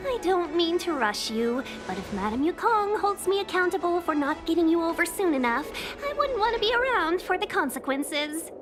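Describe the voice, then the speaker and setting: A woman playing a polite young fox woman, a smooth-spoken envoy and guide in a video game, with courteous, formal phrasing. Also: very high-pitched, animated, moderate pace, hardly any pauses. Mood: polite urgency. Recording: music behind the voice.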